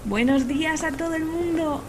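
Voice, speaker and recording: Actress playing a bubbly young Spanish student vlogger, noisy ambience. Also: female voice, medium pitch, normal speed, close to an everyday voice. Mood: cheerful, chatty. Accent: Spanish from Spain. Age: young adult. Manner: chatty, bubbly, informal. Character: eager, chatty young female history student recording travel stories. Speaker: podcast character, student vlogger. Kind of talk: acted first-person vlog monologue. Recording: clear background noise, ambient sound.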